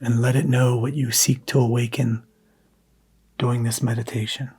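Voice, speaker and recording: A man guiding a scripted meditation in a soft, hushed, breathy manner, leaving long pauses between phrases. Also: medium pitch, little pitch movement. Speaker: meditation guide.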